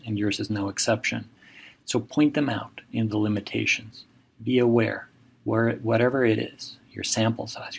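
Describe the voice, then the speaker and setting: Gravelly middle-aged man. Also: fairly low pitch. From Mexico. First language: Spanish. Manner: measured, advising, direct imperatives. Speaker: journal editor and professor. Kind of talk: recorded seminar lecture.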